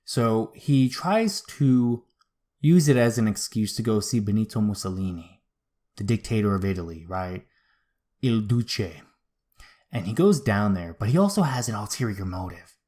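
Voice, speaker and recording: A man's voice: on the low side, expressive, normal speed, a little mellow. A man hosting a history show, retelling events in an informal, slangy, conversational way. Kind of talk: casual conversational history storytelling.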